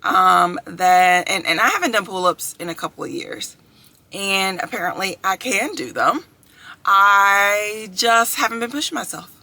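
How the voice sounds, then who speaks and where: A woman's voice: medium pitch, unhurried, bright, forceful tone, dry recording. A woman in her thirties chatting upbeat to her viewers in a casual video diary, calling them y'all and guys. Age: 30s. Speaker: vlogger. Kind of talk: casual chat to camera.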